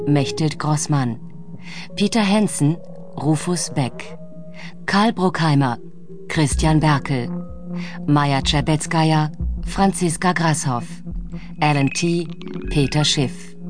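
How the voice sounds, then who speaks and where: Female announcer, very low pitch, slow delivery, scripted credits, music behind.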